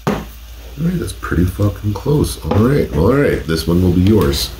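Deep-voiced congested man in his forties. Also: very animated, moderate pace, reverberant, noisy background. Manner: laid-back, swears casually. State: congested from a cold. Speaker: host. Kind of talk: casual taste-test vlog. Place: home office, indoors. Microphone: desk condenser mic.

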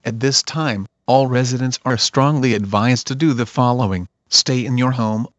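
A man's voice: medium pitch, dry recording, dull audio. A man reading a scripted emergency broadcast announcement in a stiff, formal manner.

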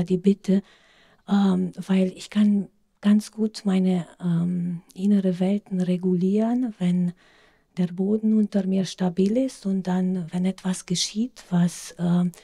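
Middle-aged woman, Romanian accent, low flat delivery, dark tone, halting question. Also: husky. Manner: hesitant, searching for words. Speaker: audience member asking a question. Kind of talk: spontaneous question from the audience. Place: outdoors among a seated audience.

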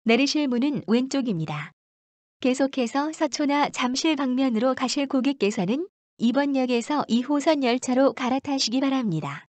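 A woman reading scripted subway announcements as a train announcer, formally and with measured enunciation. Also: sits high, expressive, a little mellow, no room sound.

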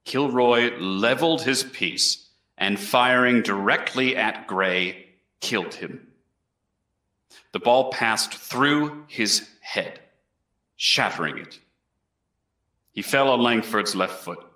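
A man's voice: mid-range voice, takes it slowly, pauses often, sharp tone. A man playing an earnest colonial Crown prosecutor, formally addressing a jury in a historical courtroom reenactment. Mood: grave, accusing. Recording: echoey room.

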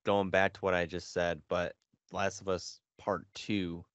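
Low-voiced man, halting casual chat. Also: flat delivery, a bit bright, clean tone, no room sound, dull audio. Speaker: podcast co-host. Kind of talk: casual chat about video games. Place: home bedroom. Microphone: headset, video call.

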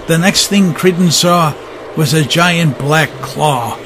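A man's voice: fairly high pitch, slow, noisy background. A man narrating a steampunk adventure audio drama as a scripted, dramatic storyteller. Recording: music under the narration.

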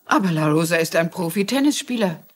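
A woman's voice: fairly low pitch, lively intonation, fairly quick. An actress playing a courteous, inquisitive older woman amateur detective in a dubbed TV crime drama. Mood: probing. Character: shrewd, polite older female amateur sleuth.

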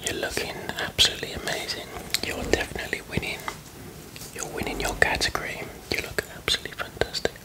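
A man in his thirties whispering softly in an encouraging, matey way in an ASMR roleplay, close to the mic. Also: quick pace, poor audio. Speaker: ASMR creator playing a bodybuilding prep helper. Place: block-walled garage gym with metal roof.

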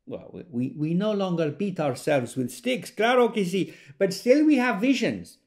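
An actor playing a devout, superstitious country believer, telling stories emphatically in an audio drama monologue. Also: male voice, high-pitched, expressive, moderate pace, a little mellow. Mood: fervent. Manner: emphatic, storytelling.

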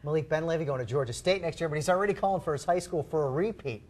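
A middle-aged man anchoring TV sports, reading highlights in a punchy, emphatic announcer style. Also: very fast, darkish tone. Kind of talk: scripted sports highlights narration. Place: TV news studio.

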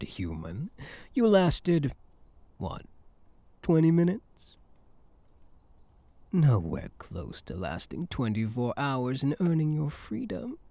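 Guy doing a smug possessive male elf hunter, asmr roleplay, teasing n seductive, really slow, voice moves up and down a lot. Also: medium pitch, dark tone, no room sound, heavily acted. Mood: possessive, gloating. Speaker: voice actor in audio roleplay. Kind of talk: intimate ASMR roleplay acting.

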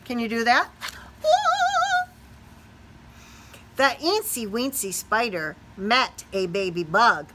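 A middle-aged woman teacher reading a children's storybook aloud in a sing-song, expressive way, putting questions to young listeners. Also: a little high, measured pace, few pauses, very noisy. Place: small classroom or office, indoors.

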